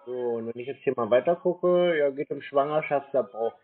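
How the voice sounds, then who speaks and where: Dry deadpan guy, bit husky, tinny phone sound. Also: mid-range voice, normal speed, soft background music. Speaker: prank call recipient. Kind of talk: phone conversation.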